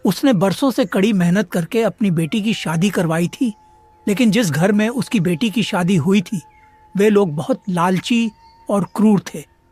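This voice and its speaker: A man's voice: sits high, unhurried, darkish tone, slightly rough, soft background music. An actor playing a kindly, sorrowful elderly man in a dramatised moral story, speaking plainly and with sympathy. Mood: sorrowful, sympathetic.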